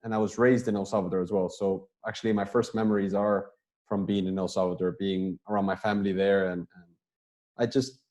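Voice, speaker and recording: Young guy on a video call, low mellow voice, laid back and rambly, keeps repeating words. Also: brisk. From United States. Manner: relaxed, rambling answers with many repeated words. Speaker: guest soccer player. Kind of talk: casual interview over video call.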